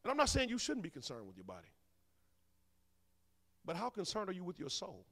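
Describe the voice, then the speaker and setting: A man, preaching a sermon as minister. He swings between loud bursts and soft asides, teasing his congregation. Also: high voice, huge pitch swings, fairly quick, vocal fry. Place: large church sanctuary. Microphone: handheld mic. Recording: long church hall reverb.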